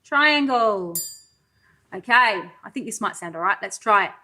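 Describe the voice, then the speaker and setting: A woman reading a children's storybook aloud like a pre-school teacher, expressive and sing-song, pausing to put questions to young listeners. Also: mid-range voice.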